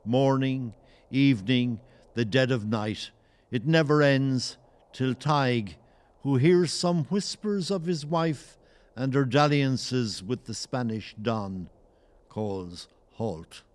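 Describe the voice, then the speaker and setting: An actor playing a grave, disapproving chronicler of Gaelic clans, narrating an audio play in a solemn, declamatory way. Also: male voice, medium pitch, slow, close to an everyday voice.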